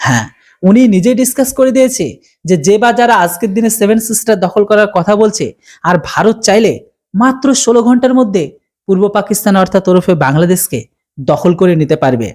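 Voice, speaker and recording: Indian Bengali commentary host, brisk delivery, mid-pitched voice for a news channel. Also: darkish tone.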